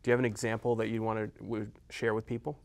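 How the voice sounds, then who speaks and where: A man interviewing a guest in a studio, asking short, quick questions. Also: lowish voice, completely flat delivery. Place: studio with blue curtain.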